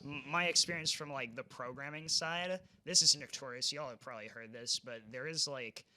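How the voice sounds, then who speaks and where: A man's voice: medium pitch, moderate pace, bright, forceful tone. A young man, a game programmer, chatting casually on a convention panel, rambling with frequent restarts. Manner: rambling, stumbles and restarts often.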